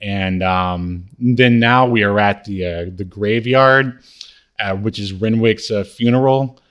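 A man co-hosting a podcast, casually recapping a TV show scene by scene in a halting, hesitant way. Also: on the low side, a bit bright, smooth. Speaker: podcast co-host.

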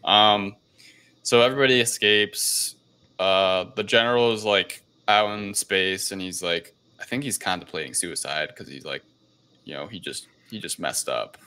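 A man's voice: sits low, normal speed, pushed and bright, smooth. A man hosting a podcast, recapping a film in a casual, hesitant, loosely rambling way. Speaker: podcast host.

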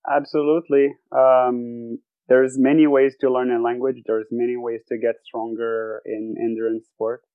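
Flat-voiced man on a tinny line. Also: medium pitch, moderate pace, no room sound. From Quebec, Canada. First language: French. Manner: thoughtful, searching for words. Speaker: guest athlete. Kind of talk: casual podcast interview. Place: home bedroom.

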